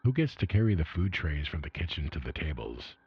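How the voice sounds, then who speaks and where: Weary, exasperated vault survivor, deep and husky man acting. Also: very animated, fairly quick, no room sound, exaggerated voice. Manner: despairing, emphatic complaining. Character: weary, exasperated male vault resident reading his journal. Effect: retro AM radio filter. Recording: dull retro AM radio sound.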